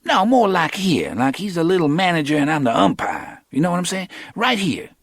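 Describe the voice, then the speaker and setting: A quick-talking street hustler. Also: male voice, a little high, vocal fry, no echo, clean studio sound, voice pushed up, exaggerated voice. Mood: animated. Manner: quick clipped street talk, animated storytelling. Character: streetwise young man, quick, clipped, boastful hustler. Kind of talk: audiobook character reading.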